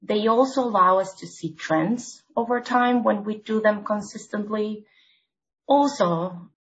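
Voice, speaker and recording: A woman from Argentina speaks as a podcast guest, her voice a little lower than most. She lays out her points in a measured, orderly way, like a consultant walking a client through a plan. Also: a bit bright, poor audio. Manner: measured, explanatory, structured points. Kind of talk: podcast interview.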